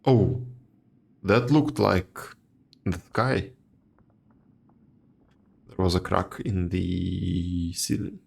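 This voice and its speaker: Very low, creaky woman streamer. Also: drawn-out words, long pauses, a little mellow. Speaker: game streamer. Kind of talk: casual live commentary. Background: game music.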